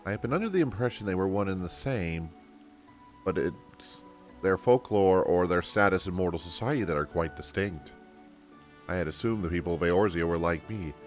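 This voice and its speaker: A man's voice: sits low, animated, brisk. Man playing a polite, formal fantasy game mascot keeper, earnest and anxious, music behind. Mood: thoughtful, formal. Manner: formal, old-fashioned politeness.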